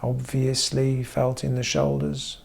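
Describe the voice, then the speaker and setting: A man guiding a meditation as a therapist, giving gentle instruction in short, measured phrases with frequent pauses. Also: medium pitch, monotone, vocal fry. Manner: measured, pausing often between short phrases. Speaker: therapist leading guided meditation.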